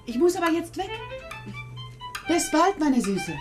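High-voiced, busy mother. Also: sing-song, a little slow, music in the background. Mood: hurried, affectionate. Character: busy mother of a young girl, brisk but affectionate. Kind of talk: children's radio play acting.

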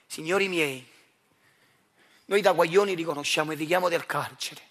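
A man from Naples with a Neapolitan accent delivers a dramatic theatrical monologue in a deliberate, confiding way, addressing his listeners directly. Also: on the high side, gravelly, fairly bright tone. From Naples, Italy. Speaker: actor and writer performing his own monologue.

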